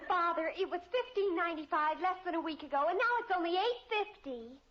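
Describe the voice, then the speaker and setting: An actress playing a dramatic, pleading teenage daughter in a radio family comedy. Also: female voice, very high voice, animated, fairly quick. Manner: overdramatic, pleading. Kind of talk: 1950s radio sitcom acting. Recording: muffled old radio, studio audience.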